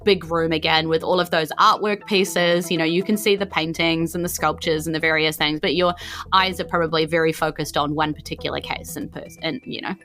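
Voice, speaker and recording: A woman's voice: deep voice, steady tone, fairly quick, a bit bright. A woman with a New Zealand accent running a tabletop game, improvising her narration in an informal, meandering, conversational way. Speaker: game master.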